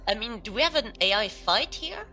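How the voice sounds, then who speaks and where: Woman playing a bright-voiced, wary team member. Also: fairly high pitch, big pitch swings, fairly quick, faint music behind, heavily acted. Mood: probing, suspicious. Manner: hesitant, searching for words. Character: wary female team member, doubtful, questioning.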